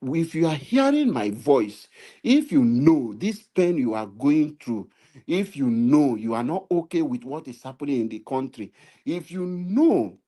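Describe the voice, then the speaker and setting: Nigerian male commentator, warm and mellow, preachy, builds to emphatic repeated appeals. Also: mid-range voice. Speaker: political commentator. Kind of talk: impassioned political commentary. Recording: live stream.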